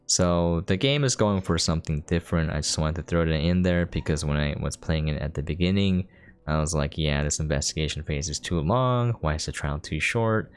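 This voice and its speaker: A man's voice: extremely low pitch, a little fast, clean tone. A man giving casual video game commentary in an informal, conversational, explanatory way. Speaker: gameplay streamer, commentator. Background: faint game music.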